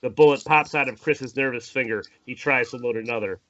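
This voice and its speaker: A man's voice: mid-range voice, brisk, fairly bright tone, dull audio. A man narrating a screenplay at an informal table read, with a theatrical, playful delivery. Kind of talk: dramatic scripted narration, informal with asides.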